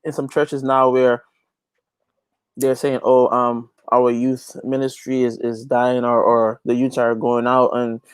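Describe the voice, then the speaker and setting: A man's voice: medium pitch, little pitch movement, a little slow, clear, resonant tone, no room sound. A man talking casually as an interview guest, preaching a little and repeating phrases for emphasis. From Guyana. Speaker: interview guest, gospel reggae artist and youth pastor.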